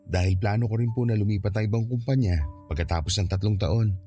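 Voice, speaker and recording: A man's voice: lowish voice, quick pace, warm tone, faint music behind, natural voice. A man playing a confident, polite young suitor in a radio drama, speaking easily with a Filipino accent. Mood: polite, deferential. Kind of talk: Tagalog radio drama acting.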